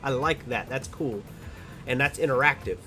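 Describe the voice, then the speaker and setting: A man's voice: medium pitch, moderate pace, pushed and bright, soft background music, poor audio. A man of about thirty hosting a casual online interview, chatty and joking, with many fillers. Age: around 30. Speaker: host. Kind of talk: casual chat.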